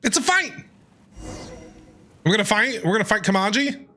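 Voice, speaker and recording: A man giving casual live reaction commentary on a video game in an excitable, jokey way, often repeating himself. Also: high voice, slow pace. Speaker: game streamer reacting to a story quest. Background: game music.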